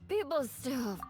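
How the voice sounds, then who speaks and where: A woman voicing a proud, guarded young noblewoman knight in a video game, tipsy, halting and vulnerable. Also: high-pitched, darkish tone, exaggerated voice. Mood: bitter, defensive. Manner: halting, sighing, hesitant.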